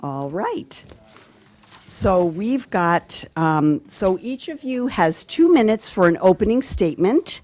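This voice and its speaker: A woman's voice: mid-range voice, big pitch swings, slow, darkish tone. A woman moderating a local candidates forum, explaining procedures in a friendly but hesitant way, with ums and restarts, into a table microphone. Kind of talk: announcing procedures at a public forum. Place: public candidates forum in a meeting hall.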